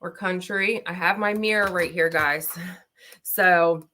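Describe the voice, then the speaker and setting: A woman's voice: fairly low pitch, fairly even intonation, slow pace, bright, forceful tone, a little hiss or hum. A woman in her thirties from Baltimore, chatting casually and rambling as she does her makeup on a livestream at her laptop. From Maryland, USA. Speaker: beauty vlogger. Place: home, at her desk with laptop. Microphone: laptop built-in mic.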